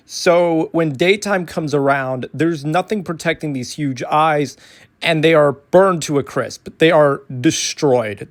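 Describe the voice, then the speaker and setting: A man giving a detailed educational explainer in ASMR style, restating key points for emphasis as he goes. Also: fairly high pitch. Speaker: educational content creator.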